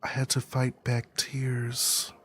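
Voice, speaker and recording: A man reading a visual novel aloud as first-person narration, in an earnest, measured, dramatic way. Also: medium pitch, monotone, a bit bright. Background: game music under the voice.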